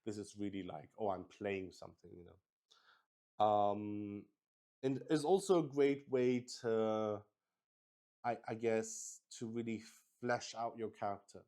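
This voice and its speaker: A man's voice: fairly low pitch, slow pace, mellow. A man streaming a solo tabletop roleplaying game, explaining it casually to viewers in a hesitant, rambling way.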